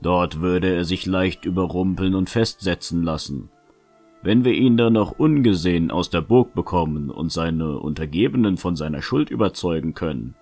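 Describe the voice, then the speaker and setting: A man playing a calm, shrewd adventurer in a fantasy audio drama, reasoning in a measured, eloquent way. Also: deep voice, faint music behind. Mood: cautious planning. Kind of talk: fan-made fantasy audio drama acting.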